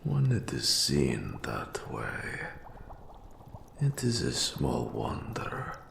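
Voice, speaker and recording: An ancient eldritch specter speaks in an extremely low, creaking voice that often sinks into whispers. He is a scholarly fantasy mentor with formal, archaic phrasing, drawn out very slowly, in an echoing space. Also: wildly swooping pitch, a bit bright, a little hiss or hum, exaggerated voice. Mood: eerie, scholarly fascination. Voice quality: whispery, gravelly, breathy rasping gasps. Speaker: mentor to an apprentice. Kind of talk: scripted fantasy audio roleplay monologue.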